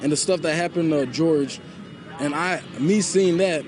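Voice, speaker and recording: A man's voice: on the high side, measured pace, a crowd in the background. Impassioned young man from Liberia. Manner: impassioned, repetitive, often asks "you know?". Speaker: interviewee. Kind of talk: street interview. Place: outdoors at a night protest near a mall.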